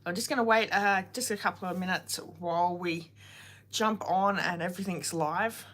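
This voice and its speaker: Woman, forties, slightly low voice, sore throat from a cold, chatty livestream host greeting viewers. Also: moderate pace, a bit bright. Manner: chatty, greets viewers by name, rambling asides. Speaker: card-making livestream host. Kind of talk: casual livestream chat with viewers.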